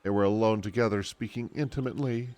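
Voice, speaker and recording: A man voicing an anxious, lovesick young noblewoman from a fantasy game, speaking haltingly with stammers. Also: fairly low pitch, steady tone, darkish tone, lightly acted. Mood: distraught.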